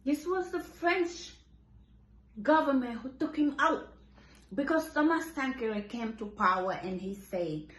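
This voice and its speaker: A woman's voice: high-pitched, expressive, unhurried, fairly bright tone. A woman in her fifties gives an impassioned monologue. She repeats and restarts her phrases for emphasis. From Senegal. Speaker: commentator. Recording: roomy echo.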